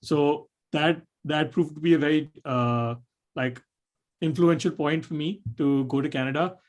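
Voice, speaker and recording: A man's voice: a little high, unhurried. A young man from Nepal answering webinar questions about his college choice, rambling with many fillers and restarts over a video call. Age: young adult. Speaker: admitted student panelist.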